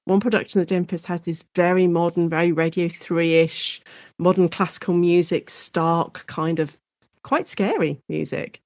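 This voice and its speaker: A woman with an English accent explaining things as an expert guest in a conversational interview, in an animated, informal way. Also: fairly low pitch, moderate pace, no echo, studio quality.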